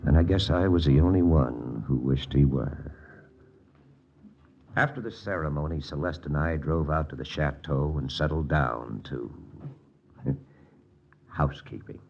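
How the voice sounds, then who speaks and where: An actor playing a wry American army lieutenant hunting spies, in a 1940s radio drama with first-person narration. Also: male voice, extremely low pitch, measured pace, creaky, long pauses, a little mellow, slight background noise, exaggerated voice. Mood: wry, confiding. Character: wry American army lieutenant and spy-hunter, quietly lovesick. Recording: muffled old radio recording, music behind.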